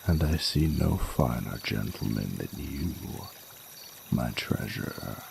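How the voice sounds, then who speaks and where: A man playing an ancient dragon king in a romantic audio roleplay, tender and flirtatious, with formal, courtly phrasing and a gravelly voice. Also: extremely low pitch, lively intonation, slow, exaggerated voice. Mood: courtly, affectionate. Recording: noticeable noise, running water sounds.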